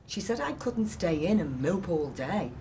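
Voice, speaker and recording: A woman's voice: lowish voice, very animated, a little slow, dark tone, slight background noise. A woman voicing a sulky, grieving man in an audiobook, blustering and defensive. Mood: defensive protest.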